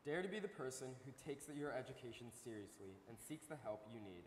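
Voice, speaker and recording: A man's voice: mid-range voice, sing-song, brisk. A man presenter delivering a scripted address from a stage. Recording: echoey hall, faint noise.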